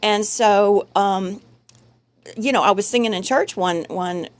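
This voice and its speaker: A woman's voice: medium pitch, a little slow. A middle-aged woman, a chorus member talking as a guest in a friendly, earnest way and laughing easily. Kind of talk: casual interview. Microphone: studio microphone.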